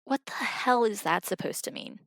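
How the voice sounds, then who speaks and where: An actress playing a bossy, easily exasperated royal attendant in a comic audio drama. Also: female voice, on the high side, animated, moderate pace, vocal fry, a bit bright, no echo. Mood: exasperated, confused.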